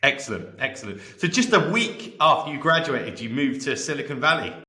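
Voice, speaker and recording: A man's voice: medium pitch, very animated, fairly quick, sharp tone, roomy sound. A man hosting a podcast, speaking as presenter and interviewer. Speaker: podcast host. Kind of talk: scripted podcast intro and interview.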